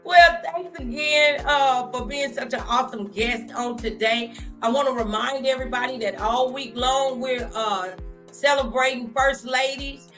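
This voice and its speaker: Bright, forceful woman host. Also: sits high, a little slow, soft background music. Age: middle-aged. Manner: animated, candid, acts out quoted remarks. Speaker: talk show host. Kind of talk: casual chat on a faith-based talk show.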